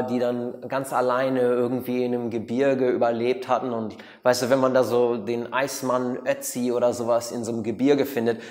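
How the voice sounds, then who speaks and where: A man with an Australian accent talks to camera in German, his second language, pausing on fillers and restarting sentences. His voice sits at an ordinary middle pitch. It is picked up by a lapel mic in a bright room that adds a long, hall-like reverb. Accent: English-speaking, Australian. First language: English. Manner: hesitant, many fillers and restarts, mixes in English words. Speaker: meditation teacher. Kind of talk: explanatory talk to camera. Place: bright room with plants, indoors.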